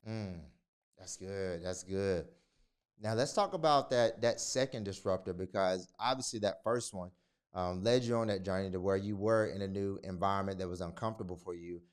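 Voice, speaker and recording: A man's voice: low-pitched, moderate pace, clean tone. A man hosting a podcast interview, asking questions with a lot of hesitation and fillers. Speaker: podcast host. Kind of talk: casual interview.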